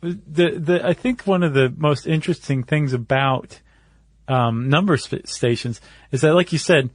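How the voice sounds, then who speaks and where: A man's voice: fairly high pitch, a little slow, no echo, studio quality. A man co-hosting a podcast, explaining things step by step in a casual conversational way. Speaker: podcast co-host.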